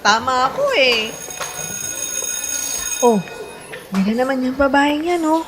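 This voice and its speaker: A woman playing a spirited young college best friend in a Filipino radio drama, expressive in both story and dialogue. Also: high voice, takes it slowly. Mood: playful, teasing. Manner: expressive, sentimental or playfully teasing. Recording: prominent music bed under voice.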